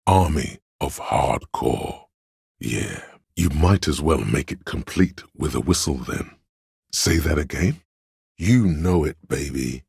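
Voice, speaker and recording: The pretentious artistic voice inside a detective's head for a video game. It is an extremely low, gravelly bass that moves slowly and deliberately. Every phrase is delivered as theatrical narration, with the gravity of a discerning critic. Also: male voice, no room sound, studio quality, exaggerated voice. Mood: rousing. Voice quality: very deep gravelly husky bass. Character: artistic inner voice of a detective's mind, lofty and critical.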